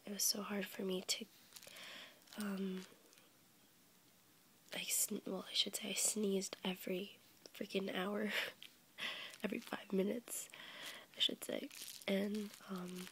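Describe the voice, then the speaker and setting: A young woman with a cold, whispering softly as she rambles for an ASMR video, stuffy and a little congested. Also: medium pitch, flat delivery, slow pace, pauses often, a little hiss or hum. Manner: soft unvoiced whisper, rambling with fillers and small laughs. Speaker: ASMR YouTuber. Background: crinkling packaging.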